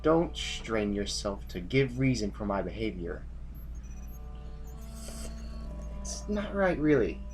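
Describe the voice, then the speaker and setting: An actor playing a weary, exasperated army general with a stern, formal manner in an audio drama. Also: male voice, lowish voice, big pitch swings, unhurried, faint music behind.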